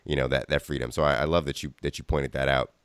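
A man's voice: extremely low pitch, little pitch movement, fast talker, fairly bright tone, clear, resonant tone, no echo, clean studio sound. A man hosting a podcast interview, upbeat and chatty, often adding "right?" and "you know". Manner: enthusiastic, filler-heavy, keeps asking "right?". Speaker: podcast host.

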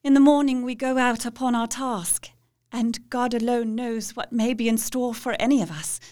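A woman playing Mina, a devoted young wife, speaking with slow, solemn, pleading resolve in a radio play. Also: fairly high pitch, steady tone, a little mellow, lightly acted.

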